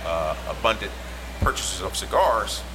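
Low-voiced man urging, in a noisy room. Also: expressive, measured pace. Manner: exhorting, repeats his appeals. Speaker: podcast panel member. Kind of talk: casual panel discussion. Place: cigar lounge. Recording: clearly noisy lounge recording.

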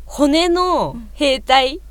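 A woman's voice: sits high, expressive, very slow, fairly bright tone, no echo. A woman podcast co-host gushing enthusiastically in a casual fan chat.

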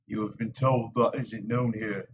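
A man voicing a stern, low-spoken warrior. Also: steady tone, unhurried, lo-fi sound, slight background noise. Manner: clipped, quiet, patient.

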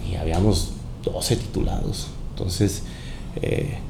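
A man chatting casually as a podcast guest. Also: slow. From Mexico and Panama. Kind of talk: casual chat. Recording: roomy echo, noticeable rumbling noise.

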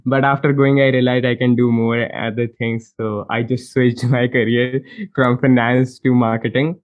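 A man's voice: medium pitch, little pitch movement, moderate pace, dull audio. Chatty man from India interviewing a guest. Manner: friendly, chatty, laughs between phrases. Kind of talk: casual interview chat.

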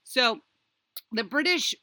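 A woman hosts a casual history chat in a bright, forward voice. She rambles animatedly through her stories and drops sardonic asides. The sound is dry, with very little room in it. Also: a little high, lively intonation, slow, no room sound. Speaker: podcast host.

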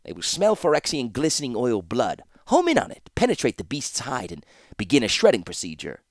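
A man's voice: mid-range voice, wildly swooping pitch, a little fast, no echo, clean studio sound, pitched above the performer's own voice. A man voicing an older male master artificer, amused and obsessed, speaking in a lofty, lecturing way.

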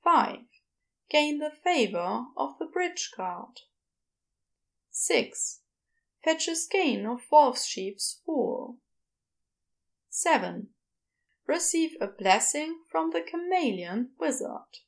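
A woman's voice: high voice, sing-song, slow, creaky, long pauses. A woman reading scripted fiction narration as the narrator of a podfic story.

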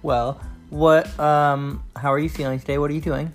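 A man hosting a podcast, chatting casually with a loud, resonant voice, recorded on a phone in a living room. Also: a little high, flat delivery, moderate pace, soft background music. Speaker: podcast host. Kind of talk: casual chat.

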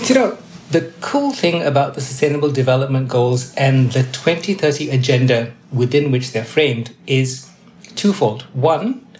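A man in his fifties from Malaysia, a development adviser explaining ideas in a measured, thoughtful way as a podcast guest. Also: mid-range voice, a little mellow, a little hiss or hum. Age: 50s. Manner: measured, thoughtful, occasional restarts. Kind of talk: explanatory interview answers. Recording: echoey room.

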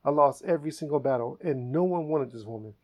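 A man hosting a comedy podcast, telling stories in a casual, animated way and laughing often. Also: fairly high pitch, quick pace. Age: adult. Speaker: podcast host.

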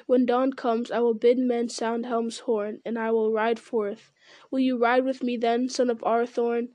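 An aging warrior king speaks with grave, measured doubt, read by a woman. The voice sits slightly high and soft-edged. Storybook reading. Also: flat delivery, no room sound, lightly acted.

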